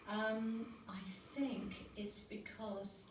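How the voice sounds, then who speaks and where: A woman voicing an awkward, well-meaning young plant schoolboy in a storybook, speaking hesitantly and haltingly. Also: mid-range voice, level tone. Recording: roomy, noisy recording, distant mic.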